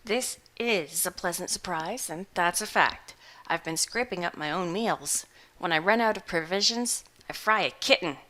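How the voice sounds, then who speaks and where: A grizzled old farmer man, put on by a woman reading a storybook, speaking louder than the rest of the reading. He drawls slowly and mournfully in plain country grammar, placid and unhurried, like a gaunt man who has just woken up. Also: lowish voice. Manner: slow rustic drawl, ungrammatical country speech.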